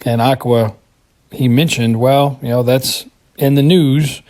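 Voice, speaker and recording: American man, medium pitch, casual solo commentary, hesitant, rambling delivery. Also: steady tone, measured pace, a little mellow. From United States. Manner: hesitant, rambling, thinking aloud. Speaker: podcast contributor reviewing a TV episode.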